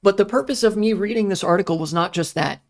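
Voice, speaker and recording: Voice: medium pitch, fast, vocal fry. A political streamer giving casual commentary in a ranting, profane, sarcastic way. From United States.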